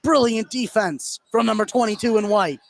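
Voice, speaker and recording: High-pitched man calling basketball play-by-play. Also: moderate pace, vocal fry, slight background noise. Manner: excitable, emphatic on big plays. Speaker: play-by-play sports commentator. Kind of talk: live basketball play-by-play commentary. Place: at a live basketball game.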